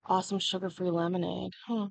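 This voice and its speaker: A woman's voice: lowish voice, little pitch movement, a little fast, gravelly, dry recording. A young woman hosting a casual livestream, chatting freely and answering viewers' comments as they come in. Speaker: livestream host.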